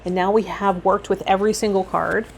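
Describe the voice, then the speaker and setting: A woman vlogging casually and reflectively to her viewers, rambling a little and pausing mid-thought, with some guided meditation cues. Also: mid-range voice, steady tone, vocal fry, a little mellow, slight background noise. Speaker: host of a tarot vlog and healer.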